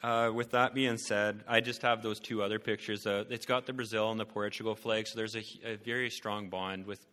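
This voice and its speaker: A man presenting to a church about his family and calling, speaking haltingly with many fillers and restarts. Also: on the low side, fairly even intonation, bright, forceful tone, long reverb tail. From Canada.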